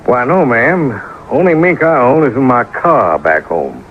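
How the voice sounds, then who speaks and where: A man's voice: medium pitch, big pitch swings, measured pace. A male radio actor playing a rich, naive Texan oilman with a folksy drawl and rustic grammar. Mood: bashful, proud. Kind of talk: old-time radio acting. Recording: muffled, noisy old radio recording.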